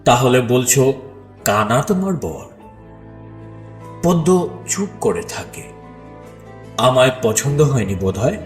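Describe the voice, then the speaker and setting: A man playing a shy, doubt-haunted, tender bridegroom who narrates an audio story slowly and emotively, with dramatic pauses. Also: medium pitch, very animated. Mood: tender, bashful. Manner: slow, hushed, emotive delivery with dramatic pauses. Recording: echoey studio reverb under clear music.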